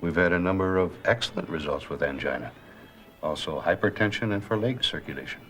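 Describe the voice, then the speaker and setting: A man acting as a calm, earnest physician in a scripted drama, explaining things in a measured, formal, instructive way. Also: sits low, faint music behind. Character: calm, earnest male doctor explaining treatments. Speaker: actor playing a physician.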